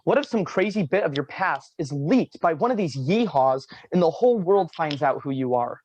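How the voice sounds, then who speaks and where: A man's voice: on the high side, animated, normal speed, darkish tone, no room sound, highs cut off. A man reading a dry, fed-up manager. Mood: exasperated. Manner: dry, exasperated. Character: quiet, practical male manager in his twenties. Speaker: also reads stage directions. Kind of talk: virtual staged play reading. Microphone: video call.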